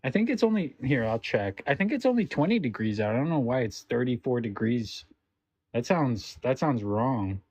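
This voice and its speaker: A young man from Alberta, hosting a livestream and teasing his guest with profane, excitable banter. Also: moderate pace, no room sound. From Alberta, Canada. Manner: teasing, profane, jumps into high exclamations. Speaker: streamer host. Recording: dry, dull lo-fi stream audio.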